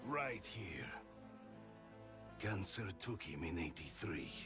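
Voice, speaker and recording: An actor playing a menacing, bitter Soviet ideologue in a game cutscene, with a slow, mocking, grandiose manner. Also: male voice, low-pitched, sing-song, exaggerated voice. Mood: solemn. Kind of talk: dramatic game cutscene acting. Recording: loud music behind the voice.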